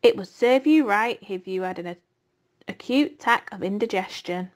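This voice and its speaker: A woman voicing a kind female spider who is tired and feeling her age, with a soft, calm, reassuring manner. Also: medium pitch, moderate pace, close to an everyday voice. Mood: stern. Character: gentle, aging female spider, weary and kind.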